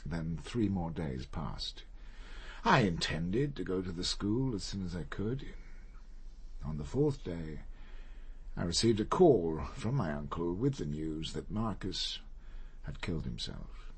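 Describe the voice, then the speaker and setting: Radio drama role: proud, guilt-ridden older gentleman, low and very husky, formal, deliberate delivery. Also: big pitch swings, heavily acted. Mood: controlled regret.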